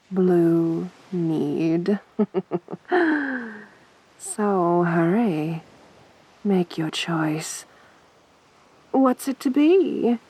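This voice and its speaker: Lady doing a sadistic siren monster girl for asmr, super slow, soft n husky, teasing and possessive. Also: medium pitch, poor audio. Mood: toying, sly. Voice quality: soft, breathy.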